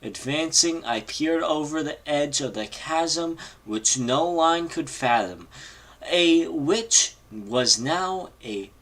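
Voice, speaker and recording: A man reading a horror story aloud informally on a live stream, halting and stumbling over long words. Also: fairly high pitch, lively intonation, a bit bright, low-quality recording. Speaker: amateur reader on a live read-along stream.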